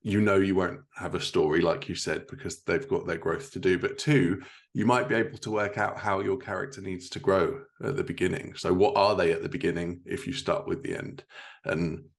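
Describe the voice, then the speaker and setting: Male podcast host, low register, conversational and affirming interviewer. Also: steady tone, normal speed, gravelly. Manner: affirming, reflects back the guest's points.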